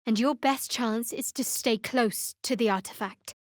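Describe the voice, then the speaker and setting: An actress playing a guarded, devout young woman cleric in a video game, with a wry, measured delivery. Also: fairly high pitch, no echo, clean studio sound, natural voice. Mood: cool, wary. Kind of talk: video game character voice acting.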